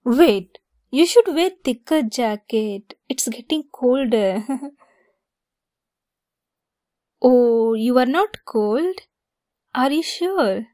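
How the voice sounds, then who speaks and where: A woman acting a slow, high-voiced affectionate girl best friend. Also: expressive, mellow, no room sound, studio quality, full character voice. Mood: warm, teasing. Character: affectionate, playful young female best friend secretly in love. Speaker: audio roleplay voice actress. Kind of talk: ASMR roleplay acting.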